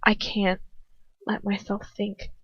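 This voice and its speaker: A woman narrates a story in the first person with plenty of feeling. Her delivery is a little halting, and the dry home recording sounds dull and lo-fi. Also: medium pitch, monotone, slightly rough, dry recording. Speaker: amateur fan fiction reader.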